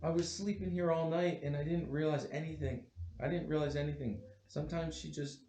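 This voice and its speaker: A nervous, scheming husband, played by a man in a comic script reading, stammering and repeating himself as he feigns innocence. His voice sits a little high. It is an echoey room with some noise. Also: fairly even intonation, fairly quick, mellow, natural voice. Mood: shaken alibi rehearsal. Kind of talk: comic film script table read.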